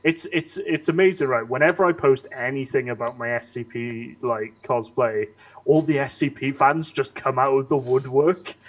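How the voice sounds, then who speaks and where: Rambling young man in casual chat. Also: mid-range voice. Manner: rambling, halting, with many restarts. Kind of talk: casual live-stream chat.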